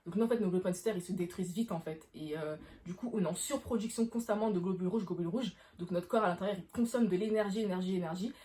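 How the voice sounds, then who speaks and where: A woman's voice: on the low side, fast talker, few pauses, mellow, slight background noise. A woman, chatting informally to camera and explaining things, often rephrasing herself as she goes. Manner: informal, frequent self-rephrasing. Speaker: vlogger answering questions. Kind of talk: casual explanatory chat to camera.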